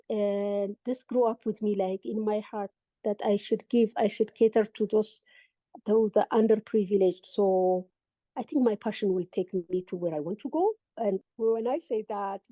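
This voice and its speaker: A woman's voice: mid-range voice, warm tone, no room sound, rough recording. A middle-aged woman from Ethiopia, a guest telling her life story in an interview, earnest and reflective. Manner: earnest, reflective, retells with quoted dialogue. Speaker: coffee business manager, guest.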